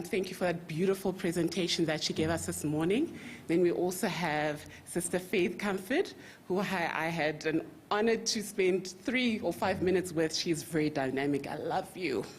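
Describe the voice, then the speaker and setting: A woman from Zambia giving a friendly, lively speech to a conference audience as a bank representative. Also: lowish voice, moderate pace, a little hiss or hum. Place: conference hall.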